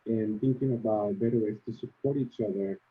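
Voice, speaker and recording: Upbeat interviewer on a tinny line. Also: male voice, on the low side, a little fast. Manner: friendly, upbeat, many fillers. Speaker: host, interviewer. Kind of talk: interview over video call. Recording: tinny phone-like call audio.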